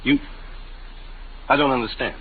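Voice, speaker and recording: A man's voice: mid-range voice, steady tone, measured pace, narrow, tinny audio, close to an everyday voice. An actor playing a beleaguered, sardonic family man and failed salesman in a 1950s radio drama. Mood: troubled. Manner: sardonic, exasperated. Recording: thin, noisy 1950 radio broadcast.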